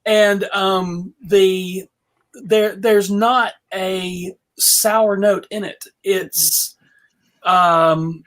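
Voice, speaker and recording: A woman on a panel chatting casually about films, halting and often searching for words. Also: mid-range voice, fairly even intonation.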